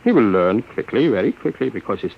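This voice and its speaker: An actor playing a nervous scientist with a husky voice who stammers and flusters in a 1950s radio drama. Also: male voice, on the low side, very animated, quick pace, slight background noise. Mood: anxious. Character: nervous older male scientist. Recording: muffled old radio broadcast.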